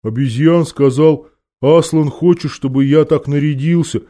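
A man voicing a meek, slow-witted old donkey in a children's fantasy story, apologetic and plaintive, speaking haltingly and naively. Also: on the high side, steady tone, dark tone, close to an everyday voice. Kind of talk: children's fantasy audiobook character.

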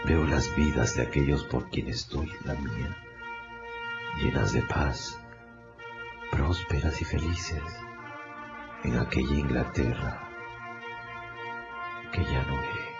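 An actor playing a serene, noble condemned lawyer, delivering a grave, prophetic monologue in a radio drama. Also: male voice, very deep voice, takes it slowly, long pauses, full character voice. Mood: solemn, visionary. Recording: dull recording, loud music behind.

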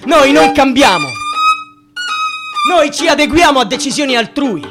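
A man's voice: very high voice, expressive, normal speed. An actor playing a bitter, defiant young man in a radio drama, declaiming a rhythmic, rhyming monologue. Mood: bitter, insistent. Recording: violin music behind the voice. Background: violin music.

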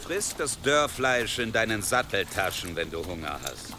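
A man playing a gruff, suspicious leader of mounted men in an audio drama, curt and contemptuous. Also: fairly low pitch, sing-song, normal speed, noisy background. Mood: suspicious contempt. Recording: outdoor ambience with horses behind.

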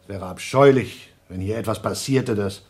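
A man's voice: fairly low pitch, moderate pace, a little mellow, close to an everyday voice. A man playing a suspicious astronaut doctor in a German radio play, formal, insistent and on edge. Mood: appeasing, stern.

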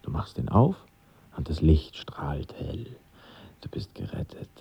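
An actor playing a wry womanizer, telling stories theatrically in a gravelly, raspy voice for an audio drama. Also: rumbling low, wildly swooping pitch, a little slow, whispered, full character voice. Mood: wry, dramatic. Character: wry womanizing male friend telling a bleak parable. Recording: dull studio drama sound.

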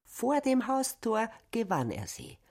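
An actress narrating a literary radio play as a storyteller. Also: female voice, on the high side, huge pitch swings, slow, darkish tone, slightly rough, exaggerated voice.

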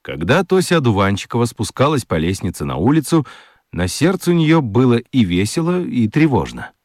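A man narrating a children's radio story in an expressive, scripted storytelling style. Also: medium pitch, fairly quick, dry recording, clean studio sound.

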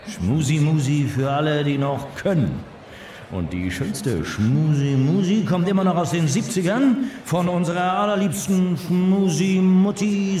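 A man's voice: a little high, a little mellow. Echoey, hammy male dance-party announcer. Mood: schmaltzy. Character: rambling, cheesy small-town dance-band announcer. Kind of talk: hammy stage announcements in radio drama. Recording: echoey hall, distant, crowd behind.

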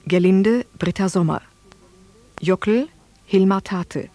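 A woman's voice: medium pitch, takes it slowly, mellow. A woman reading scripted closing credits as a radio drama announcer.

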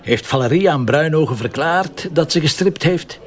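A man's voice: on the high side, expressive, normal speed, lightly acted. Stubborn, wisecracking Flemish police commissioner, played by a man. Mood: commanding. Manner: gruff, teasing, bossy. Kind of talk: radio drama acting.

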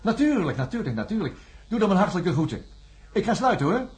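An actor playing an anxious, conscientious doctor in a science fiction radio drama, with a grave delivery that turns halting under strain. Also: male voice, sits high, sing-song, a little mellow. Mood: flustered, evasive. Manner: grave, halting when agitated. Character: anxious, conscientious male doctor narrating a disaster.

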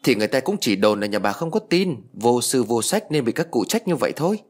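Man as chatty older village woman, lively pitch. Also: fast. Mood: gossipy, advising. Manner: chatty, insistent, a little defensive. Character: gossipy, practical older village woman, advising her neighbour.

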